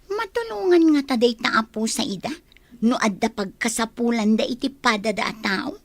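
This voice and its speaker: A woman playing a chatty, wide-eyed housemaid in a radio comedy drama, with an expressive, admiring manner. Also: on the high side, moderate pace, dry recording.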